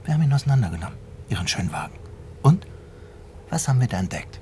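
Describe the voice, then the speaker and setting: A man's voice: mid-range voice, big pitch swings, dark tone, a little hiss or hum. An actor playing a smug, authoritative police major who needles and mocks as he interrogates in a radio drama. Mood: taunting, stern. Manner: mocking, deliberate, needling. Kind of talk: naturalistic radio drama acting.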